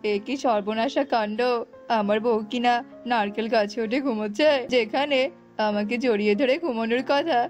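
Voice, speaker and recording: A woman playing an excitable, easily bewildered young bridegroom in a cartoon, full of exclamations and repeated pleas. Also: on the high side, lightly acted. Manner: exclamatory, pleading, repetitive. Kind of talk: Bengali cartoon voice acting.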